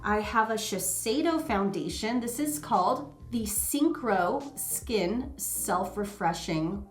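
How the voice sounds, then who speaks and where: A woman's voice: mid-range voice, expressive, slow, faint music behind. Woman beauty vlogger, nasal with a cold, loose chatty delivery, echoey room. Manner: chatty and rambling, many ums and uhs. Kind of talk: casual makeup vlog chat.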